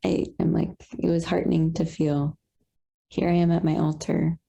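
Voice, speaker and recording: A young woman on a video call, sharing reflectively with halting pauses and fillers. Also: fairly low pitch, flat delivery, gravelly, mellow, husky, muffled recording. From Colombian roots. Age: young adult. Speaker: satsang participant.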